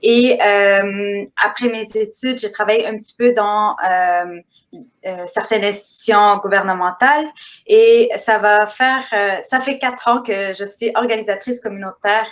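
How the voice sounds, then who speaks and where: A woman from Sri Lanka, a community organizer, introducing herself on a video call panel with many hesitations. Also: mid-range voice.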